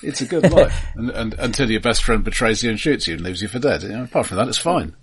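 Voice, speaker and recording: A man co-hosting a casual film podcast with dry, ironic humour, often halting and repeating words as he goes. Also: medium pitch, animated, husky. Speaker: podcast co-host.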